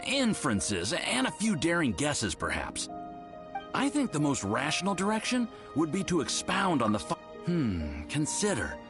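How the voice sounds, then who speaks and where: A man's voice: a little high, wildly swooping pitch, moderate pace. A man voicing an eager, polite young research assistant in a video game, earnest and bookish with a deferential manner. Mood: thoughtful, keen. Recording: music behind the voice.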